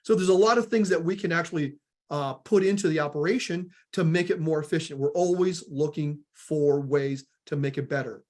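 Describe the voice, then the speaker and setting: Energetic Southern man presenting a webinar. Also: a little high, brisk. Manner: energetic, repeats words, addresses audience as guys.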